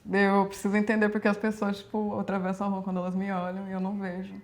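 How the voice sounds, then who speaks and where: A woman in her twenties from Brazil, a performance artist speaking reflectively in a documentary interview, with measured, slow pauses. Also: medium pitch, monotone. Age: 20s.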